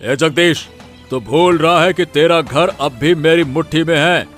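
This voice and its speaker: A man's voice: on the high side, a little slow, a bit bright, soft background music. A man playing a greedy, scheming village strongman in a cartoon, commanding and threatening. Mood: angry, menacing.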